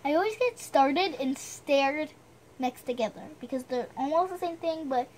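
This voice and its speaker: A woman's voice: sits high, moderate pace, darkish tone, slight background noise. A woman reading a storybook aloud with chatty, self-deprecating asides, stumbling over the odd word.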